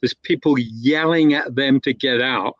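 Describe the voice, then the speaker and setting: A man's voice: mid-range voice, a little slow, a bit bright, no echo. Guy rambling through an old story, lots of um and uh, kinda dull lo-fi dry sound. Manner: rambling, with many fillers and self-corrections. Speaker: guest storyteller. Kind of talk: casual spoken anecdote.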